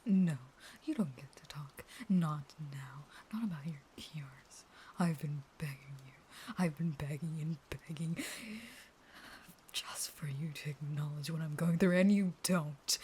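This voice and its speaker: A woman playing a caged, starving vampire in an intimate audio roleplay, half-whispering in a slow, taunting, pleading and unstable way. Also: deep voice, a little mellow, a little hiss or hum. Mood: hurt, angry.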